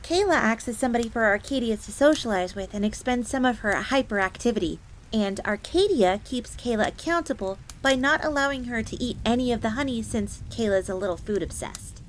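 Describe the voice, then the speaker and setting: A woman's voice: fairly high pitch, lively intonation, a little fast, slight background noise, natural voice, poor audio. A woman playing a devoted, easily flustered young bunny maid in a roleplay audio drama, speaking of herself in the third person. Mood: cheerful, eager.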